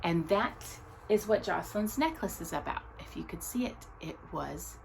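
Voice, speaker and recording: Female storytime reader, slightly low voice, very unhurried and expressive, pausing dramatically between phrases, noisy recording. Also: gravelly. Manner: deliberate, dramatic pauses between short phrases. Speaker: storyteller reading a picture book aloud. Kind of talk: read-aloud children's storytime, expressive narration.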